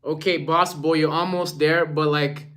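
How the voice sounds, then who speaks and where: A man's voice: fairly high pitch, level tone, measured pace, fairly bright tone. Casual young man chatting on a live stream. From Rwanda. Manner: informal, slangy, repeats himself.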